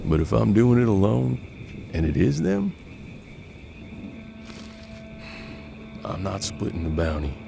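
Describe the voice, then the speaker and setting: A man's voice: lowish voice, very animated, moderate pace, creaky, over music, full character voice. An American man playing a seasoned, unflappable bounty hunter in a western audio drama, with a folksy, laconic drawl. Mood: firm, businesslike. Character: seasoned, unflappable Old West bounty hunter mentoring his apprentice.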